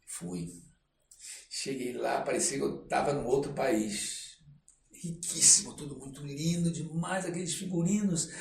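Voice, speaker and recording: An older man with a Brazilian accent tells stories conversationally as an interview guest, in an expressive, reflective way, often checking in with tag questions. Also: a little high, unhurried, vocal fry. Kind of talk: conversational storytelling. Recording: roomy, distant mic, faint noise.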